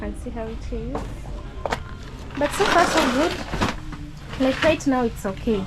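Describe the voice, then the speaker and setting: A woman's voice: high voice, expressive, unhurried, loud music behind the voice, rough recording. A Kenyan woman giving casual vlog narration while filming a family shop kitchen outdoors, explaining things with plenty of "you know" fillers. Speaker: vlogger filming a relative's shop.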